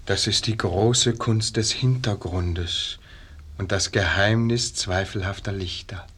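An actor playing a reverent, dreamy pupil of a painter, reciting verse in a 1950s radio drama. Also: male voice, lowish voice, slow pace, a bit bright, husky, full character voice.

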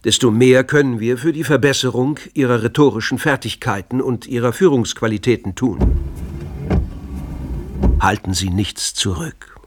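An actor playing a formal, instructive seminar leader in a TV drama. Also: male voice, medium pitch, normal speed, background noise, natural voice.

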